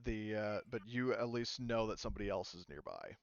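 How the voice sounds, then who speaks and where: A man with a smooth, clean voice runs a tabletop game as its game master. He works out his rulings aloud, hesitant and casual. The audio is dry and slightly dull. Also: on the low side, expressive, fairly quick, no room sound. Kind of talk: improvised tabletop game narration.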